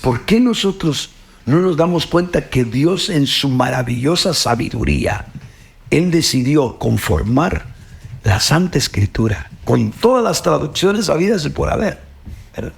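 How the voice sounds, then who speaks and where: A man's voice: fairly high pitch, very animated, measured pace, gravelly. A middle-aged man with a Guatemalan Spanish accent, speaking earnestly about faith, often repeating words and ending with "pues, ¿verdad?". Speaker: host. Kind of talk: reflective faith talk.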